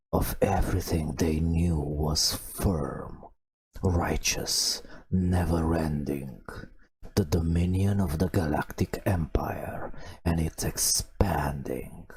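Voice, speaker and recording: A man telling a bedtime story in an ASMR style, in a hushed breathy whisper with drawn-out phrases and long pauses. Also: a little hiss or hum. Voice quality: breathy whisper with creaky low murmur. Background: video game ambience.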